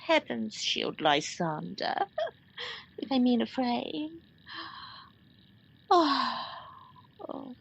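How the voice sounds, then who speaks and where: A woman's voice: fairly high pitch, sing-song, extremely slow, creaky, long pauses, husky, dull audio, full character voice. A woman acting a worn-out, woeful young woman in a Shakespearean radio play, declaiming weary verse. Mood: exhausted, woeful.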